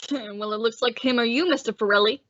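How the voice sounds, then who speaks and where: A woman's voice: on the high side, a little fast, fairly bright tone, dry recording, natural voice. A woman playing a male gangster henchman with a casual, insolent manner in an old-time radio drama. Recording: dull, dry lo-fi audio.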